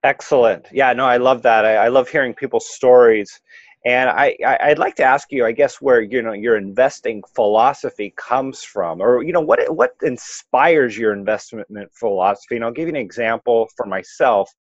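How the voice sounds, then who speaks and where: A man's voice: mid-range voice, normal speed. Enthusiastic guy hosting, dry dull lo-fi audio, no room echo. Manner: upbeat, enthusiastic introductions. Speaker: show host. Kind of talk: interview host introduction.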